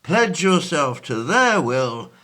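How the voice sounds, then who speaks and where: A man playing a fussy, bookish 1820s gentleman. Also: on the high side, very animated, slow pace, exaggerated voice. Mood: courteous. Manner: hesitant, full of ums and uhs, fussy and grand. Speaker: actor. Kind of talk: amateur stage play audio.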